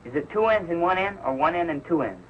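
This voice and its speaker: Eager sitcom son played by a young man, high-pitched and lively, naive, muffled noisy vintage recording. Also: natural voice. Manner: quick, earnest, naive questioning. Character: eager, pestering schoolboy son. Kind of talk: 1940s television sitcom acting. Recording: muffled old television soundtrack, heavy noise.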